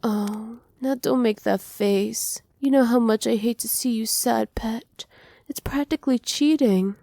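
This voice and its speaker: Soft, mellow doting witch, acted by a woman. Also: medium pitch, moderate pace, no echo, lightly acted. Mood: tender, indulgent. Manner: soft, doting, teasing, often dropping to breathy whispers. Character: doting, possessive evil witch pampering her captive pet. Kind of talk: ASMR roleplay, intimate monologue to listener. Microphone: close microphone, dry.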